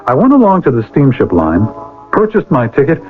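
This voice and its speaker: An actor playing an earnest, righteous older brother, theatrical and formal in an old-time radio drama. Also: male voice, mid-range voice, wildly swooping pitch, fairly quick, soft background music. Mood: earnest, confessional. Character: earnest, righteous older brother, a pleading moralist, narrating his past. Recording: noisy, tinny vintage radio recording.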